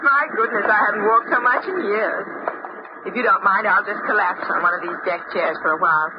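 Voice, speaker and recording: A woman's voice: medium pitch, a little fast, natural voice. A woman plays a genteel married lady in a vintage radio play. She is chatty, polite and fluttery. The sound is dull, with obvious noise over it. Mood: breezy, charmed. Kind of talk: old-time radio drama acting. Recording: dull, noisy old radio recording.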